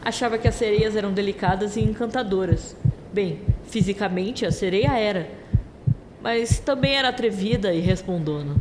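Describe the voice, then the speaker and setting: A woman's voice: medium pitch, normal speed, noisy background. A woman narrating a scripted story as part of an audio drama. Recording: long reverberant hall-like echo. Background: clear music bed.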